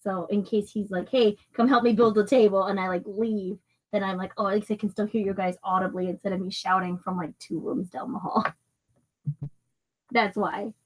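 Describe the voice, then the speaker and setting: A young woman playing a tabletop game, chatting casually and playfully in a giggly, animated manner with little acted asides. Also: medium pitch, moderate pace, poor audio.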